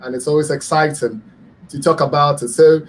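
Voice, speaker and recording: A middle-aged man from Ghana speaks as a guest expert on a radio health talk. His voice sits a little high for a man, and he explains things earnestly, with many ums and restarts. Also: steady tone, moderate pace.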